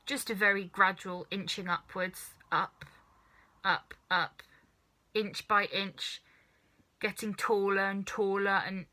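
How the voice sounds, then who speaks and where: A woman narrating a children's story as a reader, in an animated storytelling style. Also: mid-range voice.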